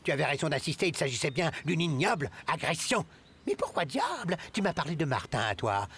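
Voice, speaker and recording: A man's voice: a little high, big pitch swings, a little fast, a bit bright, breathy edge, heavily acted. A man playing a stern, indignant school authority in a cartoon, scolding and pompous. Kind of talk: French cartoon dubbing.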